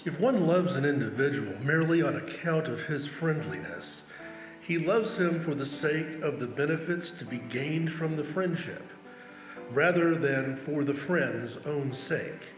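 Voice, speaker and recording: An echoey recording of a man with a somewhat high voice. He reads quotations aloud while music plays softly underneath. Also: lively intonation, unhurried. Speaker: church member reading.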